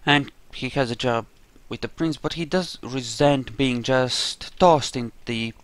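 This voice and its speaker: A man's voice: mid-range voice, unhurried, no room sound. A man giving casual let's play commentary on a roleplaying game, thinking aloud in a rambling way as he goes. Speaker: gamer narrating his own roleplay playthrough.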